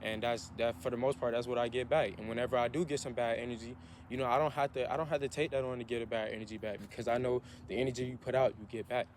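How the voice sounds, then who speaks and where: A man's voice: mid-range voice, steady tone, fast talker, a little hiss or hum. Earnest young man talking outdoors. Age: 20s. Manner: earnest, repetitive, self-motivating. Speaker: vlogger. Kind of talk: motivational vlog monologue.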